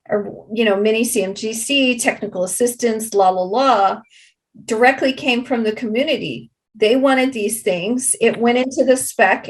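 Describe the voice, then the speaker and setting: A woman giving explanatory interview answers as a project representative, deliberate and halting, leaning on key words. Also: fairly high pitch, low-quality recording.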